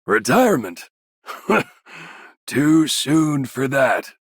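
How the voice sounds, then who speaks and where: An easygoing old veteran for a game. He talks slowly in a husky, mid-pitched voice, hearty and unhurried, recorded close and dry. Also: big pitch swings, no echo, full character voice. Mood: good-humored. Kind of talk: scripted game voice lines.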